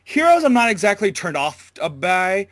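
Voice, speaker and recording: A man's voice: sits high, big pitch swings, moderate pace, fairly bright tone, no echo. A man in a casual interview, talking as an amateur voice actor in a hesitant, rambling, informal way.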